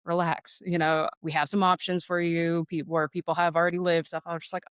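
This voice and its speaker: Clear-voiced American woman, casual and candid. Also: lowish voice, flat delivery, fairly quick, no echo, clean studio sound. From Phoenix, Arizona, United States. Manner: candid, informal, often quotes remembered exchanges. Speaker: interview guest, air traffic controller and former Marine. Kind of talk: casual conversational storytelling.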